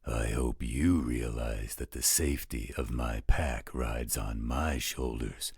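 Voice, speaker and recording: A man's voice: rumbling low, takes it slowly, husky, dry recording, studio quality, full character voice. A man playing a blunt, coarse-mouthed, protective male werewolf guardian in a scripted roleplay audio drama. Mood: protective, stern.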